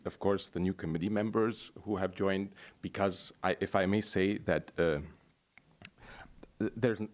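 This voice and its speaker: Deep-voiced man giving halting public comment. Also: darkish tone. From Los Angeles, USA. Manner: halting, digressive, formal address. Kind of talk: public comment at a city meeting.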